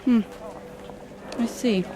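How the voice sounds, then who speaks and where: Brisk, formal airline agent. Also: female voice, mellow, a little hiss or hum, rough recording. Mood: businesslike. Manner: formal, clipped, businesslike. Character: brisk, polite female airline check-in agent. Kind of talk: radio drama acting.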